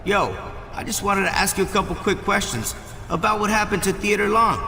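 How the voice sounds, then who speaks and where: A man's voice: high voice, brisk, vocal fry, pushed and bright, full character voice. A man playing an assertive, questioning wrestler in a video game cutscene. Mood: defensive. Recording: echoey room, noisy background.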